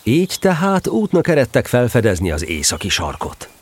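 A man narrating a children's story as an expressive, scripted storyteller. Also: mid-range voice, slight background noise.

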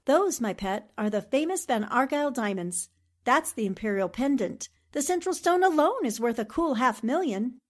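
Woman acting a poised, high-voiced lady sleuth. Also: lively intonation, normal speed. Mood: amused, assured. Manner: polished, arch, teasing endearments. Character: poised, shrewd, witty newspaperwoman amateur sleuth. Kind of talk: 1940s radio drama acting.

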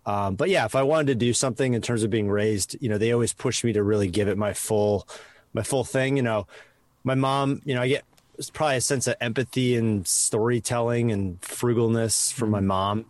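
A man's voice: mid-range voice, a little fast, no room sound. A man with a Midwestern American accent, reflecting on his upbringing as a podcast guest in an easygoing way. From American Midwest (Chicago, Wisconsin). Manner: easygoing, reflective, many "you know"s. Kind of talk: casual interview chat.

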